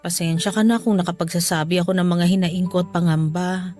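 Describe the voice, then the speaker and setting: A woman's voice: lowish voice, steady tone, a little fast, a little mellow, faint music behind, lightly acted. A woman playing a poor, anxious but loving mother in a Filipino radio drama, with a tender, careworn manner. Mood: tender, apologetic.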